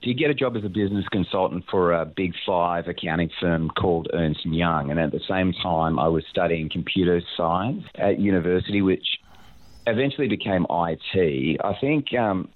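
Low-voiced Australian man, matey and self-deprecating. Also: normal speed, dry recording, narrow, tinny audio. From Sydney, Australia. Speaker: pastor, interview guest. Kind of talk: casual anecdotal chat.

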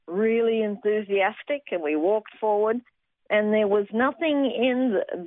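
A middle-aged woman from Australia, a guest telling her faith story earnestly with many fillers and pauses. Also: medium pitch, no room sound. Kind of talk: personal testimony interview. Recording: tinny phone-like sound, dry.

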